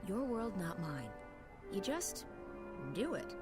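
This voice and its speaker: A woman's voice: mid-range voice, very animated, takes it slowly. A woman playing a jaded young resistance leader in a video game, curt, guarded and commanding. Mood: knowing, brisk. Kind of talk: video game cutscene acting. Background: background music.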